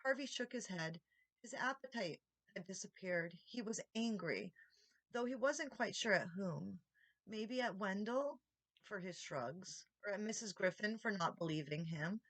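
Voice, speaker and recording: A woman reading a children's story aloud as an expressive audiobook narrator. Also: medium pitch, measured pace, dry recording. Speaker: audiobook reader, channel host.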